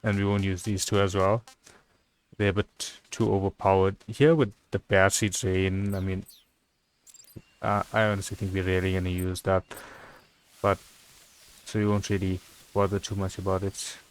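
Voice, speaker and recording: A man giving casual, relaxed live commentary as he plays a game, muttering asides to himself. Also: deep voice, normal speed, pauses often, faint music behind. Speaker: gaming streamer.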